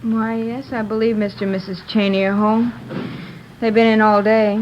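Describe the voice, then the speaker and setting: A woman's voice: fairly high pitch, little pitch movement, normal speed, background noise, lightly acted. A woman playing a polite, slightly hesitant apartment manager in a 1950s radio drama. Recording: dull old radio recording.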